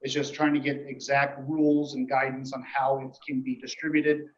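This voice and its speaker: A man gives an informal report to a board at a meeting, explaining things in a halting, matter-of-fact way. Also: medium pitch, level tone. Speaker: emergency dispatch official reporting to a board. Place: indoor meeting room. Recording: echoey meeting room, dull muffled audio.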